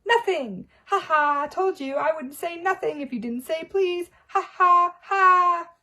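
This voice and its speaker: A woman voicing a gleeful, mischievous male poltergeist who taunts in a shrill sing-song. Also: big pitch swings, measured pace, full character voice. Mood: gloating. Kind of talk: audiobook character voice.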